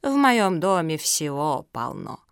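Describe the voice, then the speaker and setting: A woman voicing a greedy, haughty old female turtle who drawls slowly and scornfully in a storybook reading. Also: fairly low pitch, very animated, fairly bright tone, clear, resonant tone, dry recording, studio quality, heavily acted. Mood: coolly unyielding.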